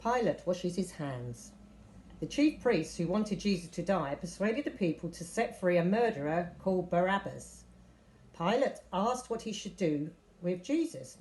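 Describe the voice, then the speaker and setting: Woman reading a bible story for kids, lowish mellow voice, puts feeling into the quotes. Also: poor audio. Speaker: story reader. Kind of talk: scripted narration of a children's Bible story.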